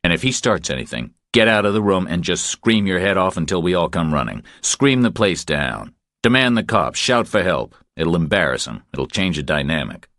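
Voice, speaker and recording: A man voicing a steady, protective tough man, calm, firm and terse, in an audiobook thriller. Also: sits low, normal speed, no room sound, studio quality, heavily acted. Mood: urging. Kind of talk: audiobook character dialogue.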